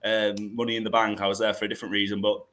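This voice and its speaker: A slightly hoarse man hosting a casual podcast draft, rambling through restarts and fillers. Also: on the low side, little pitch movement, racing, fairly bright tone, slight background noise. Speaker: podcast host.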